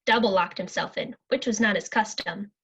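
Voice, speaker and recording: A woman's voice: mid-range voice, moderate pace, fairly bright tone, husky. A young woman reading scripted story narration for readers' theatre.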